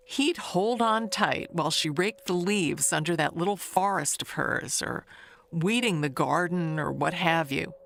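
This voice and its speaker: Nosy older neighbor woman, played by an actress, audio mystery monologue. Unhurried, swooping delivery, confiding and sly. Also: lowish voice, gravelly, fairly bright tone, a little hiss or hum, exaggerated voice. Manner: gossipy, confiding, halting with pauses.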